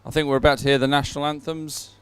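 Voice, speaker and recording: A man giving live sports commentary in a chatty, somewhat halting announcing style. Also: fairly high pitch, little pitch movement, smooth.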